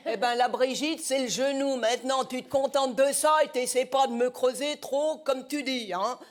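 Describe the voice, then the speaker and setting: A man's voice: extremely high pitch, steady tone, moderate pace. A man voicing a brash older woman, a tough-talking tabloid paparazzi boss who mumbles around a cigarette, wisecracking and menacing in old gangster-film slang in a comic mock interview. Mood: mocking, gossipy.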